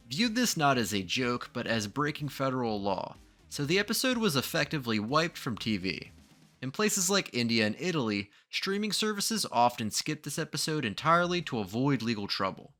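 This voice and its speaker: A man's voice: medium pitch, big pitch swings, normal speed, sharp tone. A man narrating a scripted video essay in a dramatic, ominous true-crime manner, posing rhetorical questions. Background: background music.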